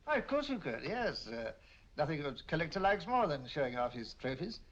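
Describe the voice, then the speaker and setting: Brief: affable British collector, lively higher voice, chuckly, muffled vintage sound with faint hiss. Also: male voice, a little fast. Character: affable middle-aged male collector. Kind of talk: 1940s film acting. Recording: dull old film soundtrack.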